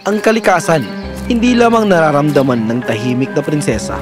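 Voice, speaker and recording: A man's voice: a little high, big pitch swings, a little fast, music in the background. A man narrating a scripted fairy tale in a dramatic storytelling manner.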